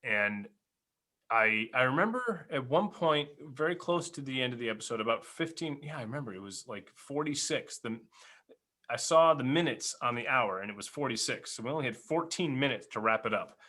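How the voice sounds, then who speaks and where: A man's voice: medium pitch, expressive, fairly quick, bright, forceful tone. A man in his forties telling stories casually as a podcast host, animatedly acting out remembered dialogue. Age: 40s. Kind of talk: casual reminiscing storytelling.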